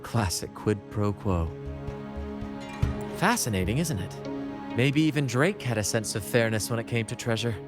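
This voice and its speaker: A man's voice: on the low side, lively intonation, moderate pace, pauses often, music in the background, close to an everyday voice. A man voicing a confident, theatrical young adventurer in a video game. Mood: intrigued, wry.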